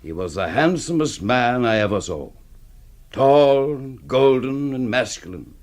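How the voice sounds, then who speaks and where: A man's voice: medium pitch, big pitch swings, unhurried. An actor playing a bombastic, scornful middle-aged literary man in a radio drama, pompous and florid in delivery. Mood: admiring.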